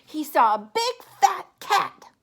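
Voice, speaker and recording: A woman reads a children's story aloud. Her voice is high and she takes her time over each phrase. The intonation swings widely, and she speaks directly to young listeners. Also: gravelly. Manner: animated, deliberate, asks the listener questions. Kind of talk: expressive picture-book read-aloud with asides to young listeners.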